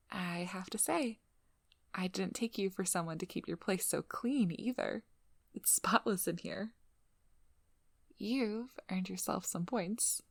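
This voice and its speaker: A woman playing a devious, vigilant vampire hunter in a scripted ASMR roleplay, teasing and controlling, with a breathy voice that drops into whispers. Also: medium pitch, no echo, clean studio sound. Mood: playful, affectionate. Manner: teasing, playful, coolly controlling. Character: devious, vigilant female vampire hunter, flirty then businesslike. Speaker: audio roleplay performer.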